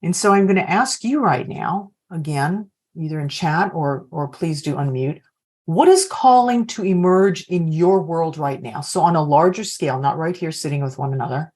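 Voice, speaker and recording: A woman's voice: low voice, moderate pace, a little mellow. A woman coaching leaders on a video call, reflectively posing open questions to the group. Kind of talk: workshop facilitation.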